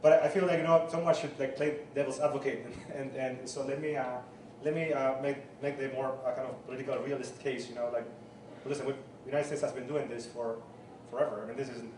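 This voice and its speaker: A man's voice: medium pitch, steady tone, quick pace. A man from Chile speaks up from the audience, hesitant and full of restarts. He is picked up from far off in an echoey hall, with noise underneath. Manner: hesitant, many restarts and fillers. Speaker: audience member.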